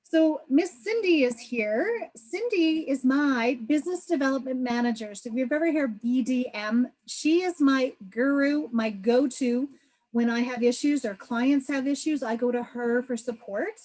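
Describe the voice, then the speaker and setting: A woman from Canada hosts a group video call as a travel agent, her voice pitched a little high. She talks in an upbeat, chatty way, with plenty of fillers. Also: measured pace, darkish tone, rough recording.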